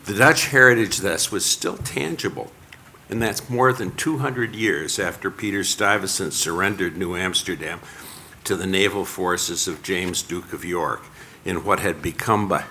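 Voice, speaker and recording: An older man lectures from a podium in a very low, forceful voice. He keeps to a measured pace, as if reading from a prepared text. A faint hum of the room sits behind him. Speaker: panel speaker. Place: lecture hall.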